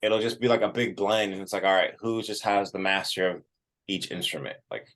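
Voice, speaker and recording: A man with a low-pitched voice gives a casual interview as a musician. His phrasing is informal and conversational, peppered with "like.". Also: fairly even intonation, brisk, fairly bright tone. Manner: informal, frequent "like" as filler.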